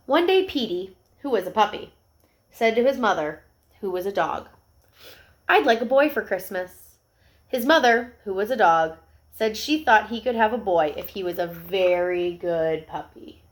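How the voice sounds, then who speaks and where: A woman's voice: mid-range voice, expressive, normal speed. A woman narrating a children's storybook aloud, with asides and questions to young listeners.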